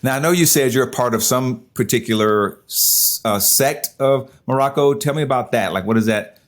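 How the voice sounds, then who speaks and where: A man's voice: mid-range voice, normal speed. A man hosting a podcast, introducing and interviewing guests in a conversational way. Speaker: podcast host.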